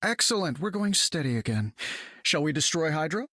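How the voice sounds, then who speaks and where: An actor playing an assured, witty armored inventor superhero in a video game, brisk and smooth-talking. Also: male voice, fairly high pitch, very animated, pushed and bright, no room sound, clean studio sound, full character voice. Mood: pleased.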